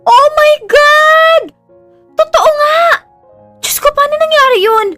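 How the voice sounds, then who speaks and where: A woman playing an excitable, loyal young best friend in an audio drama, with a Filipino accent and exclamatory, slangy Taglish delivery. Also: extremely high pitch, slow pace, full character voice. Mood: amazed. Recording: music under the voice.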